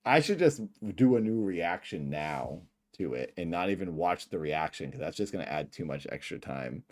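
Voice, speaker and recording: Middle aged guy w a lisp, lowish voice, chatty rambling stream talk, trails off. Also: sing-song, moderate pace. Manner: rambling, laughing asides, trails off quietly. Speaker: livestream host. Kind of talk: livestream reaction commentary.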